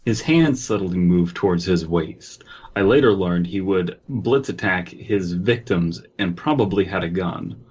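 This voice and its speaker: A man's voice: deep voice, lively intonation, measured pace, a little mellow, husky. A man co-hosting a podcast in casual, joking discussion over a voice call. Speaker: podcast co-host. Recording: slightly dull voice-call audio.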